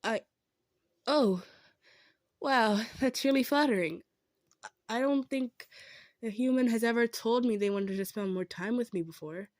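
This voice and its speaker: A woman playing a kind, modest female centaur in an improvised roleplay, speaking gently and earnestly with some stammering. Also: a little high, a little slow, pauses often, no room sound, studio quality, lightly acted. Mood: touched, sincere. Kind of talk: improvised roleplay audio drama, addressed to listener.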